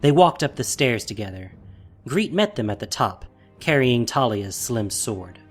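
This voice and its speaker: A man narrates a dramatic story in an expressive, theatrical style. His mid-pitched voice moves in lively swings, with faint music underneath. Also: measured pace, slightly rough.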